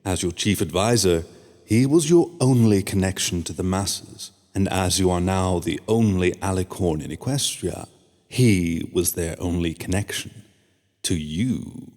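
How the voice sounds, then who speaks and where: A man playing a scheming, oily male pony courtier in a fan-made radio drama, speaking in a smooth, fawning and sly way. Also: low voice, unhurried, a little mellow. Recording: long hall reverb.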